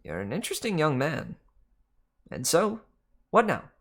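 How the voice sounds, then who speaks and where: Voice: medium pitch, sing-song, unhurried, a bit bright, voice pushed up, heavily acted. A reader voicing a mischievous, worldly male god, chatty and teasing, in a storybook reading. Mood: breezy, chatty.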